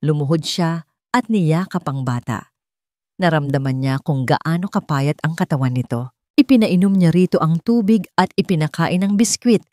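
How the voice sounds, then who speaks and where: A woman's voice: low-pitched, lively intonation, moderate pace, warm tone, no echo, clean studio sound. A woman narrator reading a scripted, dramatic love story.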